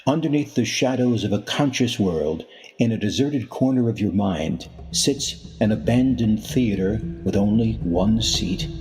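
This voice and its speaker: A man's voice: on the low side, lively intonation, a little mellow. Theatrical radio mystery host played by a man, over music. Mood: ominous, inviting. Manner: deliberate, dramatic. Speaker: announcer and narrator. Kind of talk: old-time radio narration.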